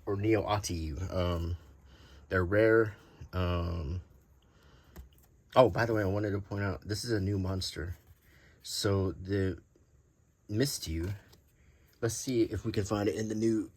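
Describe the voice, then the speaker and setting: A man hosting an unscripted show-and-tell, talking casually and thinking aloud in a rambling, unhurried way. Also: low voice, fairly even intonation, a little mellow, breathy edge. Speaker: host showing vintage game cards.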